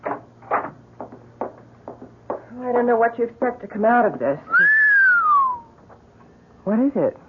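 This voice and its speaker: A woman's voice: on the high side, lively intonation, slow pace, long pauses. An actress playing an earnest, worried woman pleading as a voice of conscience in an old radio drama. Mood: anxious. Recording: tinny, noisy, clicking old radio recording.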